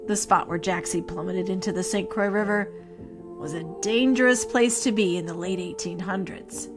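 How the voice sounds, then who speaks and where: A woman of medium pitch narrates a scripted ghost story as a podcast host. Her delivery is measured and theatrical, with pauses placed for drama. Music plays clearly behind her voice. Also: a bit bright.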